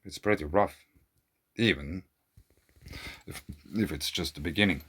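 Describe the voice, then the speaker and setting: A man whose first language is German, speaking English in an earnest, questioning monologue to camera, often trailing off quietly. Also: low voice, measured pace, slightly rough. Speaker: video creator. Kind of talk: casual monologue to camera, reading out an email.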